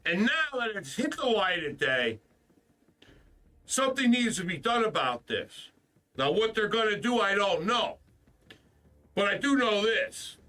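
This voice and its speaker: A man's voice: high voice, sharp tone, far from the mic. A man hosting a gaming stream, ranting in a frustrated, profane way, his voice stuffed up from the flu. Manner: frustrated, profane, repeats himself. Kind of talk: casual ranting stream talk.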